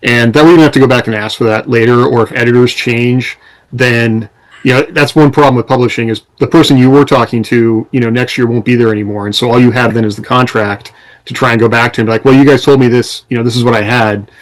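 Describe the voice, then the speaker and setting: A man's voice: medium pitch, fairly even intonation, fast, poor audio. A man co-hosting a podcast, explaining things in a conversational, advisory way. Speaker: podcast co-host and author. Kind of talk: casual explanatory advice in conversation.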